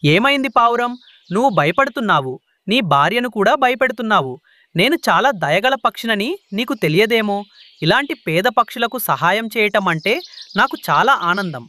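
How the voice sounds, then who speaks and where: A man's voice: high voice, expressive, normal speed, pushed and bright, dry recording. A man playing a sly, greedy crow landlord who wheedles and bosses in a children's cartoon. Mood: wheedling. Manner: scheming, wheedling, bossy.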